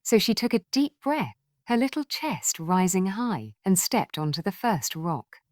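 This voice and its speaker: A woman reading a scripted children's story in an expressive, gentle storybook manner. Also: mid-range voice, measured pace, no room sound, clean studio sound.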